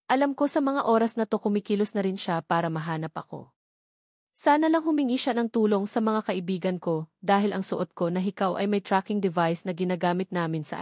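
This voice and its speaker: A woman narrates a dramatic novel in scripted storytelling style. Her voice sits at a medium pitch, recorded very dry with no room sound around it. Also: darkish tone, no room sound. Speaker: audiobook narrator.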